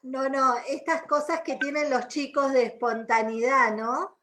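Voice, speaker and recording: A woman's voice: high-pitched, steady tone, unhurried, fairly bright tone. A woman from Argentina with an Argentine accent co-hosting a radio magazine, speaking in an enthusiastic, flowing way. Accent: Argentine Spanish. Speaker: radio co-host.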